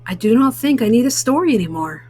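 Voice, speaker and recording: Woman voicing a gentle, husky storybook frog. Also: a little high, expressive, quick pace. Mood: kindly. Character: friendly male frog, gentle and curious storybook friend.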